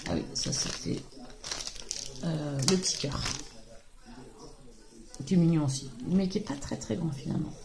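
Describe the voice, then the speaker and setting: A woman hoarse from a cold talks in a loose, rambling way, full of hesitations. Her voice sits fairly low and has a gravelly rasp. The home recording has a clear layer of background noise. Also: normal speed, pauses often. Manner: chatty, rambling, hesitant with many fillers. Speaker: craft video host. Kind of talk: casual show-and-tell haul.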